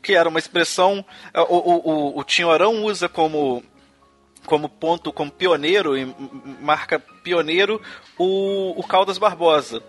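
Brazilian guy on a podcast, kinda high voice, lots of restarts and "né?", faint music under. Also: moderate pace, a bit bright. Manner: hesitant, many restarts and tag questions. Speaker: podcast host. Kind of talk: casual conversational chat on music history.

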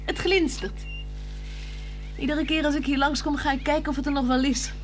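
An actress playing a playful, flirtatious married woman in a radio play, teasing and coaxing, moving between hushed words and exclamations. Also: sits high, steady tone, moderate pace, slight background noise, natural voice. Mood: playful, excited. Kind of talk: 1960s Dutch radio play acting. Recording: 1960s radio drama with music.

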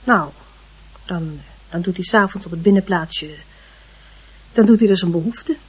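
A woman playing a reassuring, slightly prim mother in a radio play, explaining things hesitantly with a slightly husky voice. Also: on the low side, normal speed. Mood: confiding.

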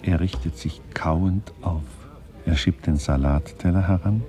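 An actor as a detached narrator, giving clipped, deadpan literary narration in a radio play. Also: male voice, sits low, measured pace, soft background music. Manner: deadpan, clipped, fragmentary phrasing. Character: detached male narrator minutely observing people.

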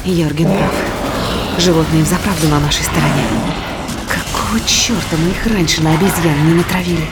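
A woman's voice: low-pitched, normal speed, loud music behind the voice. A woman playing a sardonic, defiant fighter in an audio drama. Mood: surprised.

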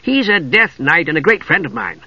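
Man voicing chirpy high-pitched little Kender adventurer. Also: sing-song, moderate pace, breathy edge, no echo, highs cut off, exaggerated voice. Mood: chatty, polite. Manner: eager, chirpy, rambling. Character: cheerful, fearless, chatty little male Kender adventurer.

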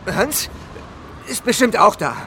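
Hard island boss, fairly high voice, commanding, scornful, horror radio play, background noise. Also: male voice, very animated, slow pace, vocal fry, sharp tone, heavily acted. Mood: tense, resolute. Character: ruthless island leader, hard, scornful and armed man. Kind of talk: German radio horror drama acting.

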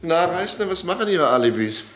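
A man's voice: a little high, sing-song, brisk, a bit bright, soft background music. A man playing a smug, triumphant policeman in a German crime radio play, needling a colleague in short, teasing, punchy phrases.

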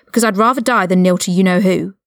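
A woman's voice: mid-range voice, quick pace, no echo, clean studio sound, lightly acted. A woman voicing a hot-headed, loyal eighteen-year-old male wizard prisoner with blunt, indignant, informal speech in a story reading. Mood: defiant, shaken.

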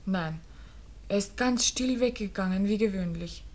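A woman's voice: mid-range voice, measured pace, a little mellow, lightly acted. An actress playing a lovesick, trusting young woman, pleading and earnest, with a Viennese accent in a 1960s radio drama. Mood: subdued, defensive.

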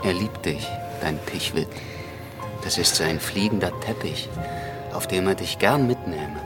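An actor in a German radio play voicing a weary, unsentimental invalid baron, dry, curt and resigned. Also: male voice, low-pitched, unhurried, music in the background. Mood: dry, tired.

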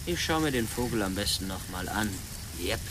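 A man's voice: fairly low pitch, lively intonation, lightly acted. Guy acting young nerdy drone pilot, slangy n flippant, mumbles then swings up and down, radio play, some noise behind. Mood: distracted, muttering. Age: young adult. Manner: slangy, muttering, halting. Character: bearded student drone pilot, hesitant, flippant tinkerer. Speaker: actor in a radio drama. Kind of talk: German radio drama acting, naturalistic.

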